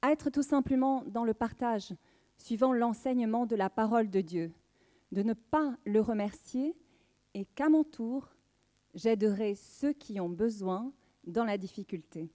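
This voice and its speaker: Female, late 30s. Slightly high pitch, dark mellow tone; earnest, measured read of a personal faith testimony. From southwest France. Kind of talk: prepared spoken testimony.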